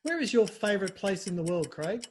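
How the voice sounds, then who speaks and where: A man with a notably high voice hosts a rapid comic interview. He teases his guest in a playful, mock-grand manner. Faint background noise sits under the recording. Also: big pitch swings. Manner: teasing, mock-formal and playful. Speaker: interview host. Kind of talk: scripted quick-fire comic interview.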